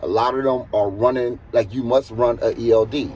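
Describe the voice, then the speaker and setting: A man with a husky voice, talking casually as a truck driver giving earnest, emphatic advice and repeating points for stress. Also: mid-range voice, steady tone, normal speed, a little mellow, a little hiss or hum. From United States. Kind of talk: casual advisory monologue.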